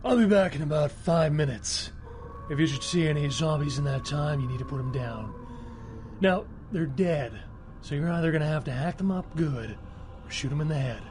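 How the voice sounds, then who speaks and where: An actor playing a cocky, trigger-happy cemetery caretaker in a video game, with a laid-back, slangy, wisecracking manner. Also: male voice, a little high, normal speed, darkish tone, slight background noise, natural voice. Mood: chatty, wry. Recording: game music behind the voice.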